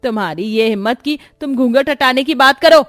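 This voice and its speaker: Casting: female performer as domineering middle-aged mother-in-law for a cartoon moral tale, indignant, higher pitch, dry sound over faint music. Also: fairly quick, dry recording, lightly acted. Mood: stern, threatening. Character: strict, scolding traditional mother-in-law, middle-aged woman. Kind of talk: Hindi moral-story cartoon voice acting.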